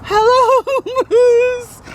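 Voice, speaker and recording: A woman's voice: very high-pitched, little pitch movement, very slow, a little hiss or hum, pitched above the performer's own voice, heavily acted. A woman voicing an eager young schoolgirl who loves her dog, exclaiming and coaxing in a children's storybook. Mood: excited greeting.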